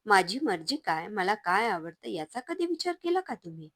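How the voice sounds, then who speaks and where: A woman's voice: mid-range voice, big pitch swings, moderate pace, a bit bright, no echo, studio quality. A woman voicing a hurt but resolute young wife who stands up to her husband in a firm, raised voice.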